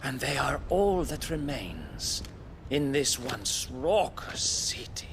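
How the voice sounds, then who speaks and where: An actor playing a suave, devout knight in a fantasy game, formal, pompous and mocking. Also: male voice, medium pitch, big pitch swings, slow pace, background noise. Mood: smug reverence. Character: suave, mocking male knight, courtly and zealously devout servant. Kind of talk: dramatic fantasy game acting. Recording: loud orchestral music behind.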